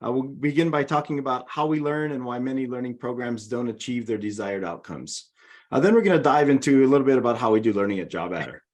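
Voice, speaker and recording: A man's voice: medium pitch, quick pace, darkish tone. A middle-aged man with a Hawaiian accent, presenting a webinar over a video call in a friendly, organized way. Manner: friendly, organized, walks through slides.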